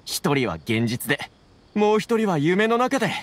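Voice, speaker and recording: A man's voice: high voice, very animated, moderate pace, a little hiss or hum. A man playing a wry townsman in a Japanese anime fantasy game.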